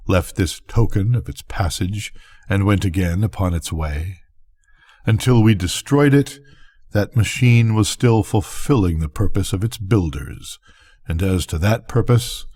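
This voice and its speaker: Older guy reading a bedtime story, really low mellow voice, takes his time with the phrasing. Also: husky. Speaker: reader of bedtime stories. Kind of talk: scripted narration, audiobook-style story reading.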